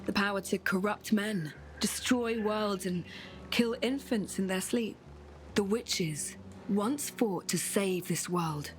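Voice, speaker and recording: A woman playing a mysterious, solemn witch in a fantasy game, telling grave lore in an earnest, measured and portentous way. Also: mid-range voice, a little hiss or hum, close to an everyday voice. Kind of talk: dramatic fantasy game acting.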